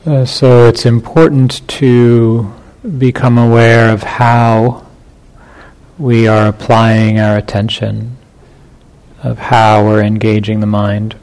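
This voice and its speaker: A man leading a guided meditation as a teacher, speaking in short phrases with long pauses between them. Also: lowish voice, little pitch movement, darkish tone, rough recording.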